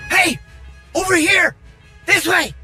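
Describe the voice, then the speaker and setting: A man playing an earnest, quick-thinking rebel worker in a video game, talking informally and persuasively. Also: very high voice, pushed and bright, faint music behind, full character voice. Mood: urgent. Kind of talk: video game cutscene acting.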